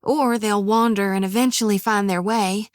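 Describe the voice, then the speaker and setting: An actress voicing a devout young female reaper priestess haunted by visions, with a drawl, often hushed and whispery, recorded dry in a studio. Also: mid-range voice, steady tone, normal speed, no echo, lightly acted. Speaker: game character. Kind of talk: game voice acting.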